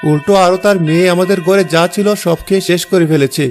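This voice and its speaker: An opportunistic young cartoon husband, played by a man, speaking quickly in a raised pitch. He is ingratiating and two-faced, with faint music behind him. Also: fairly even intonation, a little mellow. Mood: conspiring. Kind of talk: Bengali cartoon dialogue.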